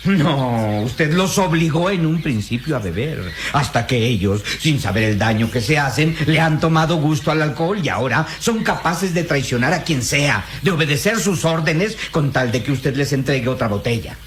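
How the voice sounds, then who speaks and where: Casting: male actor as indignant professor, higher-pitched, wide-ranging, declamatory radio melodrama. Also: lively intonation, moderate pace, few pauses, slight background noise, lightly acted. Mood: indignant, threatening. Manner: declamatory, emphatic. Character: outraged, righteous professor confronting a villain. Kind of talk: melodramatic radio drama acting.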